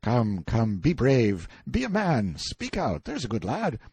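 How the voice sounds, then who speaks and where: A man's voice: mid-range voice, wildly swooping pitch, unhurried, a little mellow, breathy edge, exaggerated voice. A man playing a brash, self-assured man stranded in a medieval court, blunt, emphatic and colloquial, in an audiobook story. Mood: coaxing.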